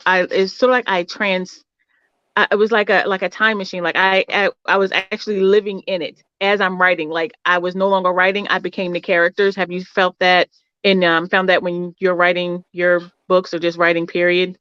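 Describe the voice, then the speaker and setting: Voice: medium pitch, flat delivery, a little fast, sharp tone, clear, resonant tone. A podcast host asking long, rambling interview questions, often restarting mid-sentence and encouraging the guest with "mm-hmm". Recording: dull muffled dry sound.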